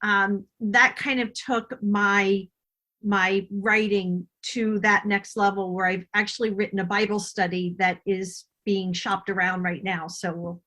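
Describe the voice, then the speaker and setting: A woman's voice: medium pitch, flat delivery, unhurried, bright, forceful tone. A middle-aged woman answering interview questions as a guest author, explaining herself in a reflective, conversational way.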